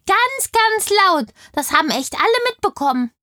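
A woman's voice: very high voice, sing-song, moderate pace, sharp tone, no echo, clean studio sound, heavily acted. A woman voicing a chatty, indignant little kindergarten girl in a children's audio play, telling things with childlike eagerness. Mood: excited, indignant.

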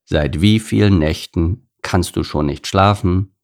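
Male plough horse, acted by a man, storybook, creaky, grumbly, calm, patient. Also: a little slow. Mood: sighing, concerned. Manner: low grumbling, sighing, patient. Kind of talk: storybook character voice.

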